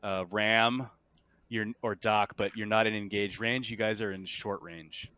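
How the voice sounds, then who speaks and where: Crisp, low-voiced man as game master. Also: fairly even intonation, a little slow, bright, forceful tone, no echo. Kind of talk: explaining tabletop game rules in casual chat.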